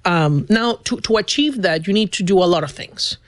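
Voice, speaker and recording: A man's voice: sits high, animated, moderate pace, dry recording. A man in his thirties from Venezuela, a guest answering interview questions in an earnest, explanatory way.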